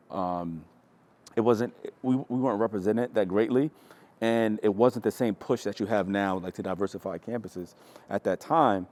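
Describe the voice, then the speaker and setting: A man in his forties, a mentor leading a relaxed panel chat in a loose, reflective way. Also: fairly low pitch. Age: 40s. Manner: reflective, loose, asks with repeated questions. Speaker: staff mentor leading the discussion. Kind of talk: casual panel conversation.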